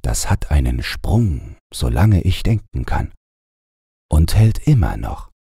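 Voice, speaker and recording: A man narrating fairy tales as a scripted audiobook, in a measured, expressive storytelling manner. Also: a bass voice, gravelly, slightly rough, dry recording, clean studio sound.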